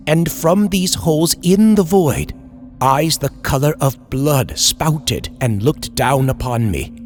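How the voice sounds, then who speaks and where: A man reading a scripted horror story in a theatrical, deliberate old-time radio storytelling style. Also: a little high. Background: music.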